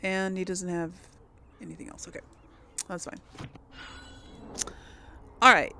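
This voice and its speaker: Casual woman gamer, clear background noise. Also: lowish voice, fairly even intonation, unhurried, sharp tone. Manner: informal thinking aloud. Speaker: gaming video host. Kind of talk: casual game commentary.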